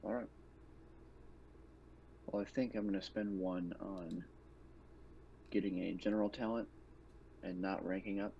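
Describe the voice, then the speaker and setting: A man's voice: deep voice, steady tone, measured pace, gravelly, pauses often, mellow. A man chatting casually as a player in a tabletop game. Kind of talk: casual chat. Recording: dull lo-fi mic, faint noise, keyboard typing.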